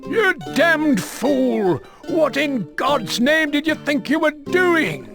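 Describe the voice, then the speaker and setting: An actor playing an irascible, pompous older lord with a blustering manner in a video game. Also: male voice, very high voice, very animated, slow pace, vocal fry, over music, exaggerated voice. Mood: outraged. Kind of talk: video game cutscene acting.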